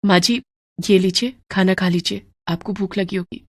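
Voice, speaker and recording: Storybook heroine: woman acting a dutiful young daughter-in-law, gentle, soft-spoken, dry sound. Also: mid-range voice, steady tone, normal speed, no room sound, lightly acted. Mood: tender. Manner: soft, patient.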